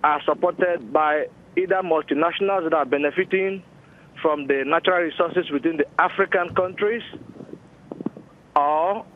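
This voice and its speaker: A man calling a talk show by telephone, giving measured commentary in a formal, deliberate way with pauses. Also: a little high, a little hiss or hum. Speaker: phone-in caller. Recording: narrow-band telephone line.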